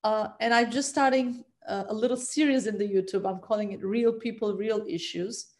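Turkish woman, second language speaker w turkish accent, chatting as interview guest on video call, mid pitch. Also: steady tone, normal speed, darkish tone. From Turkey. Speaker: guest, trauma psychologist. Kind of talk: conversational interview. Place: bright living room at home.

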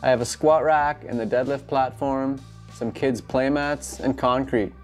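Man, thirties, casual how-to vlog, tongue-in-cheek, medium pitch, background music. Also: fairly even intonation, a little slow. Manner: jokey, tongue-in-cheek asides. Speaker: host of a home gym DIY channel. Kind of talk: casual instructional vlog to camera. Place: garage home gym, indoors.

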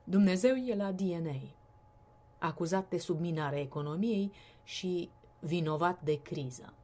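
A woman with a breathy, husky voice giving an expressive recitation of poetry. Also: lowish voice. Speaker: poetry reader.

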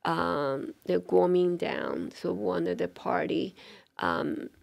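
A woman's voice: fairly low pitch, sing-song, takes it slowly, creaky. A middle-aged woman from Taiwan, a university dean being interviewed, speaking thoughtfully with many fillers and restarts on a studio mic. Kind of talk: interview conversation. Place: small bright indoor studio with sofa. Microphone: studio mic on stand.

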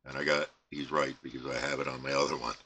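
A middle-aged American man giving a casual, unscripted show-and-tell, rambling and halting as he trails off. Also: extremely low pitch, little pitch movement, pushed and bright. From United States. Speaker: CB radio hobbyist presenting his equipment. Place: indoor radio bench crowded with equipment. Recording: clear background noise, room ambience.

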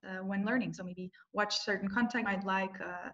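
A woman's voice: medium pitch, steady tone, fairly quick, a bit bright. A woman moderating a webinar Q&A on a video call, speaking with frequent uhs and ums. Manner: many hesitations, uh and um. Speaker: webinar host. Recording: dull video call audio.

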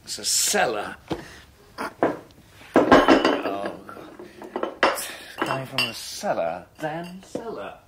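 An actor playing a scheming, chummy English husband in a rambling, repetitive, matey manner, in a 1980s radio drama. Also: male voice, mid-range voice, big pitch swings, extremely slow, long pauses, very noisy. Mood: frightened, muttering.

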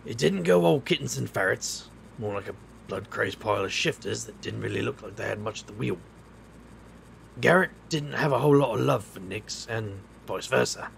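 A man's voice: medium pitch, animated, moderate pace, vocal fry, slight background noise. An actor playing a wry, defiant male street magician, narrating his noir tale in a sardonic, dramatic manner. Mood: wry storytelling. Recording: music behind the voice.

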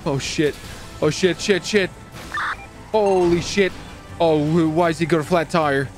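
A man chatting casually as he plays a roleplay game, excitable, swearing freely and repeating his phrases. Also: on the high side, a little slow, soft background music. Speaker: video host and roleplay gamer. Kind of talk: casual chat while playing a crime roleplay game.

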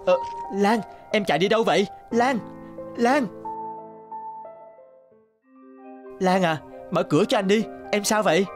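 A man's voice: high-pitched, normal speed, faint music behind, lightly acted. Man playing an earnest young cartoon suitor. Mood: anxious pleading. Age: young adult. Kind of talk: cartoon voice acting.

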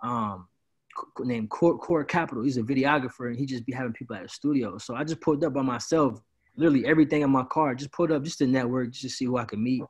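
A man's voice: medium pitch, fast, darkish tone, husky. A man in his twenties from Illinois, a party promoter chatting loosely on a video call, rambling and often saying "bro". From Peoria, Illinois. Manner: laid-back, rambling, says "bro" often. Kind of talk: casual interview. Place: indoors, small room at home.

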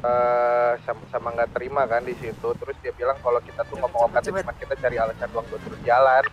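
Fast-talking Jakarta man, slightly dull mic. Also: mid-range voice, flat delivery. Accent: Indonesian, Jakarta colloquial. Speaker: gamer role-playing a gang member. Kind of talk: casual in-game recap. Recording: slightly dull game voice chat.

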